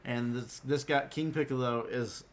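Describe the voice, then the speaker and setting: A man with a slightly hoarse voice, hosting a podcast and chatting casually. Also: mid-range voice, fairly even intonation, moderate pace. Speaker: podcast host. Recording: noticeable background noise.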